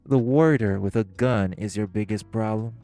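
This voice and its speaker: A man's voice: lowish voice, moderate pace, warm tone, soft background music, lightly acted. An actor playing a smug, catty male crime businessman who needles the others with sarcasm. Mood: mocking. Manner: sarcastic, provoking, flirtatious jabs. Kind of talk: audio roleplay acting.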